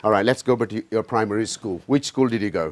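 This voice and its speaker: A man hosting an interview, firing brisk questions and mixing two languages. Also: on the low side. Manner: brisk questioning, mixes Bengali and English. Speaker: host.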